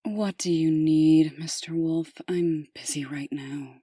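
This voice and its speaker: A woman's voice: low voice, steady tone, takes it slowly, warm tone, slightly rough, dry recording, exaggerated voice. A woman playing a curt, sardonic deputy mayor in a comic dub, dry and dismissive. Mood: impatient.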